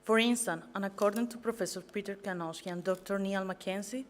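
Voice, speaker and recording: A young woman with a Colombian Spanish accent speaks at an event, her first language plainly Spanish. She delivers a prepared talk with earnest care, a little nervous and sometimes tripping over words. Her pitch sits in an ordinary middle range. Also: a little fast. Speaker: guest speaker, master's student. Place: event venue.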